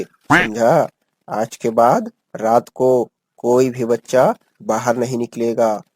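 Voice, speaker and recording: A man playing a stern, commanding village-chief bird in a cartoon, authoritative and deliberate. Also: medium pitch, a little mellow, no room sound.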